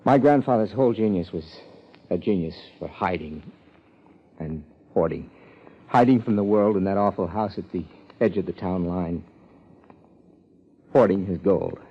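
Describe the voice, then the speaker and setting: A man playing a bitter, unhinged murderer in a 1950s radio drama, brooding and halting in his delivery. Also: low voice, gravelly, slightly rough, exaggerated voice. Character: bitter, unhinged male murderer, a miser's grandson. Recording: dull 1950s radio recording.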